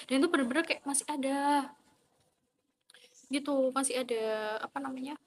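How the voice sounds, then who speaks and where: A woman's voice: sits high, steady tone, normal speed, slight background noise. A young woman from East Java with an East Javanese Indonesian accent, chatting animatedly about student life. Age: young adult. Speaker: vlogger, university student. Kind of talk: casual chat.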